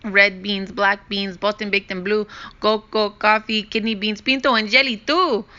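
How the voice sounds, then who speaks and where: A woman's voice: mid-range voice, normal speed, pitched above the performer's own voice, close to an everyday voice. A woman voicing the smallest chihuahua in a band, a slangy, laid-back little male dog. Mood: animated. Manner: casual slangy, animated. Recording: dull lo-fi recording.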